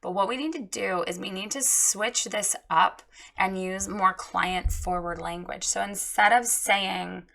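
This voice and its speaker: A woman with a hoarse, froggy voice giving a frank, chatty critique of a website, with many ums and restarts. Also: medium pitch, unhurried, a bit bright, poor audio. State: hoarse, recovering from lost voice. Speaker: website reviewer giving feedback. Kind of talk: casual spoken critique.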